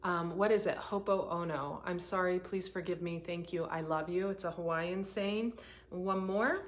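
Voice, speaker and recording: A woman hosting a live oracle card reading, talking in an earnest, rambling way, her voice getting hoarse. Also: lowish voice, moderate pace. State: voice going hoarse. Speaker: intuitive reader hosting a livestream.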